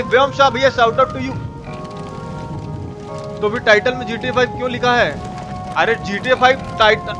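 A man playing a folksy, excitable Indian uncle with a Bihari Hindi accent, commenting on a game in crude, exclaiming slang for a live stream. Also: high voice, natural voice. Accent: eastern Hindi, Bihari. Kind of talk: live horror game commentary with chat replies.

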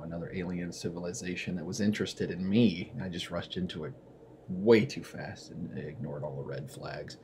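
A man playing a weary client giving a soft, hesitant, confessional ASMR testimonial in a small room. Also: low-pitched, slight background noise.

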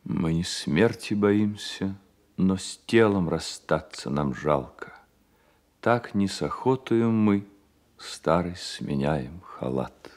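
An actor playing a weary, wounded, tender poet of about thirty, brooding and confessional, in a Soviet radio play. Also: male voice, low voice, animated, slow, darkish tone. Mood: resigned.

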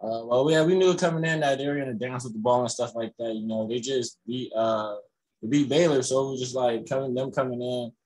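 A young man, a college basketball player, answering reporters on a video call after a game, relaxed and full of "you know" fillers. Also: mid-range voice, a little fast, poor audio. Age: young adult. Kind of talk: postgame press conference answers. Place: indoors in front of a logo backdrop.

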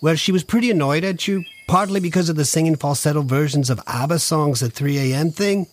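Guy playing long-suffering assistant, a bit high pitched, mild and careful, faint noise on the recording. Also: a little fast, lightly acted. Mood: placating. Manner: mild, hesitant, placating. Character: patient long-suffering male assistant to a faded star. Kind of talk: scripted audio comedy acting.

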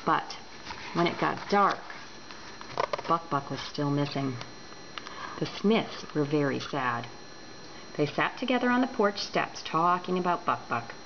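Woman storyteller, animated intonation, deliberate delivery, dull home recording, background noise. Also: sits low. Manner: expressive, deliberate. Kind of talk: children's book read aloud.

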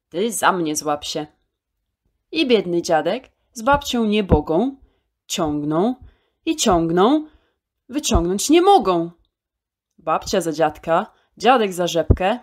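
A woman storyteller reciting a children's poem in an expressive, theatrical way, drawing out key words. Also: lowish voice.

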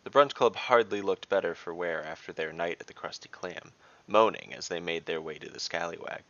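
A man's voice: sits low, fairly quick, a bit bright, no room sound, lo-fi sound. A man narrating a scripted fantasy tale as dungeon master, with theatrical, descriptive storytelling.

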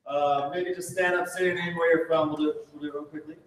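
A man moderating live introductions after a concert, brisk and informal. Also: fairly high pitch, fairly even intonation, recorded from a distance, soft background music. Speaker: event moderator. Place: large library event hall with a stage. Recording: echoey hall, crowd noise, from afar.

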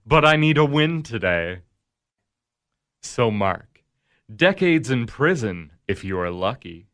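An actor playing the Devil in a radio drama, a smug, needling tempter who talks in a measured, sardonic way. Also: male voice, medium pitch, big pitch swings, fairly bright tone. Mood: quietly sly.

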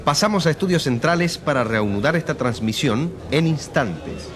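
A man's voice: a little high, moderate pace, loud music behind the voice, natural voice. An actor playing an eager male radio reporter giving urgent live trial commentary in a drama, over crowd murmur. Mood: urgent, expectant. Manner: animated, urgent announcer delivery.

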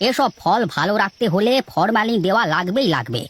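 A woman's voice: mid-range voice, big pitch swings, fairly quick, husky. A woman voicing a scheming, grumbling male fruit trader in a cartoon, wheedling and defensive. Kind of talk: cartoon dialogue acting.

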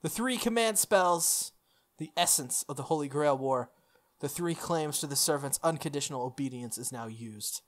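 A game player narrates a visual novel aloud in a mid-pitched voice, mixing read narration with casual asides. Music runs under the close-miked voice. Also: lively intonation, moderate pace.

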